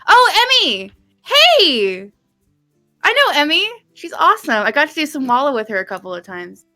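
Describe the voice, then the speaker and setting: A woman's voice: high-pitched, very animated, normal speed, bright, forceful tone, soft background music. A woman co-hosting a casual live stream, rambling and playful, with some swearing. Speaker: stream co-host and artist.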